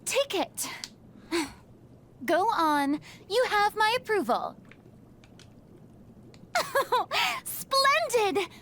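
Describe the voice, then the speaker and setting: A haughty young game heroine with a very high, swooping voice. She is grand and theatrical, taking her time over each phrase, with faint music behind. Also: big pitch swings, very slow, pauses often, fairly bright tone, slight background noise, heavily acted. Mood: delighted, grand. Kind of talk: scripted game character voice acting.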